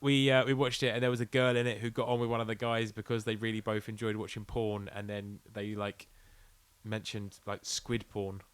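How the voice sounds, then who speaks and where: British guy cohosting a podcast, smooth lowish voice, takes the piss, swears loads, dry close sound. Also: little pitch movement, brisk, dry recording. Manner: sweary, joking, mocking. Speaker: podcast co-host. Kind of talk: casual comedic chat.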